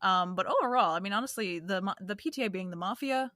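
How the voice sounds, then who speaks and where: Woman, mid pitch, very dry close sound, casual rambling chat. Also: fast, a bit bright, no echo. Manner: rambling, hedging with frequent "like". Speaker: podcast co-host.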